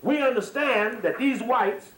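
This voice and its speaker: A man's voice: very high voice, measured pace, noisy background. A man giving an extemporaneous lecture to a live audience, speaking in an emphatic, repetitive, digressive manner. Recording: echoey room, slightly distant microphone.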